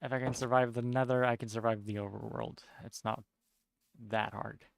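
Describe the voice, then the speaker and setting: A young man with a Norwegian accent, chatting casually while streaming a game, somewhat monotone and mumbling with unclear diction. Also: mid-range voice, moderate pace, vocal fry, slight background noise. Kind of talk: casual chat while gaming.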